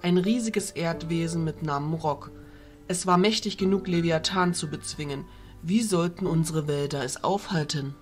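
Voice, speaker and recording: A woman's voice: on the low side, faint music behind, lightly acted. A woman playing a composed, authoritative fairy leader who speaks formally in an amateur audio drama. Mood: composed, solemn. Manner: formal, measured.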